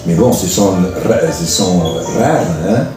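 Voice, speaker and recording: An older man from Argentina, a priest being interviewed, who speaks calmly and earnestly and often hesitates and repeats words. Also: lowish voice, slow. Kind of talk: relaxed interview conversation. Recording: echoey, from a distance, with music behind.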